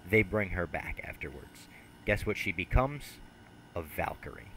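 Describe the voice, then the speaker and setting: Young podcast host, low bright voice, laughs and swears easily, headset mic. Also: male voice, animated, normal speed, a little hiss or hum, low-quality recording. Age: young adult. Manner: laughs often, swears casually. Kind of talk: casual podcast chat. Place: small room with brick wall. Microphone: headset mic on video call.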